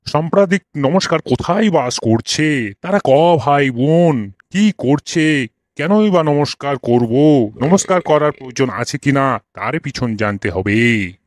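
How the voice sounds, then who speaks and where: A man's voice: mid-range voice, animated, measured pace, no echo, studio quality, natural voice. A man playing a cranky old schoolmaster in a comic cartoon, scolding and ranting with exasperated, theatrical rhetorical questions. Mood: pompous lecturing. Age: older.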